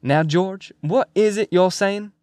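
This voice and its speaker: Guy doing a brash pushy ringleader for an audiobook, hearty, loud, raised pitch, dry sound. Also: takes it slowly, no echo. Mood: coaxing. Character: brash, cajoling rowdy man, loud ringleader. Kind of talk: acted audiobook character dialogue.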